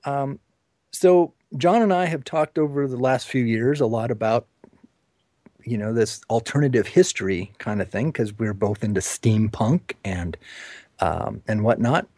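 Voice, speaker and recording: A man hosts an informal interview program in a mid-range voice. He talks in a halting way, restarting and repeating words. The sound is dry, with very little room on it. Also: mid-range voice, darkish tone, no room sound. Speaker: interview show host.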